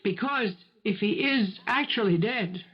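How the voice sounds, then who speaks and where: A woman's voice: a little high, measured pace, breathy edge. An actress playing a determined, protective woman in a 1970s radio mystery, articulate and insistent in her questioning. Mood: earnest, determined. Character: assertive, determined woman turned protective amateur investigator. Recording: dull vintage radio broadcast recording.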